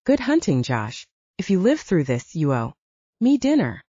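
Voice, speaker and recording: Audiobook role: wry, snappy she-wolf beta read by a woman, mellow tone, dull lo-fi sound. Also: lowish voice, wildly swooping pitch, measured pace, husky, no echo, full character voice. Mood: wry, teasing. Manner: snappy, wry, humorless joking. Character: blunt, wry red-haired female werewolf beta.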